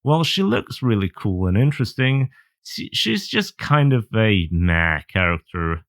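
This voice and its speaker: A man's voice: fairly low pitch, very animated, a little slow, a bit bright. A man delivering semi-scripted review commentary in a blunt, somewhat hesitant way. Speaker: video reviewer of anime visual novels.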